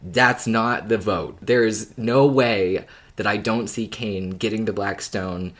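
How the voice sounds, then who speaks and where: A podcast co-host talking casually and in a measured way, open to other views. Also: medium pitch. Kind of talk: casual discussion chat.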